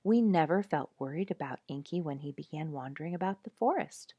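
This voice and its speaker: A woman narrating a nature story from a script in a measured, emphatic storytelling manner. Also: sits low, animated, warm tone, dry recording. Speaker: audiobook narrator reading a nature memoir.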